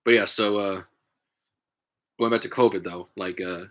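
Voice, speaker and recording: A man with a stuffy, congested nose, talking in a halting, casual way with many fillers and restarts, vlogging from home. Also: fairly low pitch, steady tone, fairly bright tone. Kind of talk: casual rambling vlog.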